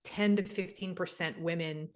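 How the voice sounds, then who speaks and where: Chatty Canadian woman, lower voice. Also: fairly even intonation, normal speed. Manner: chatty, thinking aloud with many fillers. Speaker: guest real estate investor. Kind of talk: casual interview talk.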